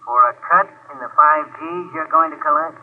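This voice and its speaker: A shady anonymous phone tipster. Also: male voice, fairly high pitch, a little fast. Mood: evasive. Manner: curt, evasive, gruff street talk. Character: anonymous male tipster disguising his voice. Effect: telephone. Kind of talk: 1950s radio drama acting. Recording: tinny phone-line 1950s radio.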